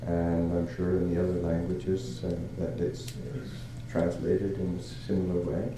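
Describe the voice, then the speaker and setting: Halting older monk, slow and echoey. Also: male voice, low voice, monotone, noisy background. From Canada. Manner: halting, many fillers and restarts, chuckles. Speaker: Buddhist monk. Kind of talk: dharma talk.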